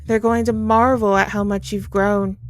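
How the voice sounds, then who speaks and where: A woman playing a gentle, sorrowful Grim Reaper in an ASMR audio roleplay, soft-spoken and tender as she reassures the listener. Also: medium pitch, flat delivery, moderate pace, faint music behind, natural voice. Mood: comforting, wistful. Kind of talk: ASMR audio roleplay, intimate comforting monologue.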